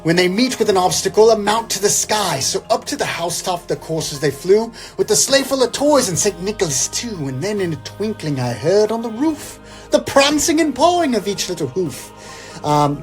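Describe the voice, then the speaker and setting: A man with a fairly high voice performs over faint instrumental Christmas music. He moves between theatrical verse recital and wry, casual side commentary, keeping an irreverent comic edge. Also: lively intonation, moderate pace. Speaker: guest reader, comedic poem reciter. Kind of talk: dramatic poem recital broken by casual joking asides.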